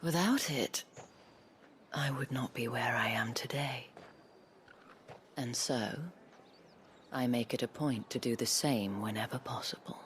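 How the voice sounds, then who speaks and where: An actress playing a sly, elegant, teasing madam in a video game, with a sultry, half-whispered, genteel delivery. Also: female voice, a bass voice, unhurried, long pauses, slight background noise. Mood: measured, knowing. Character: sly, elegant madam of a pleasure house, teasing and self-assured. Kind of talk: video game cutscene acting. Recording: music behind the voice.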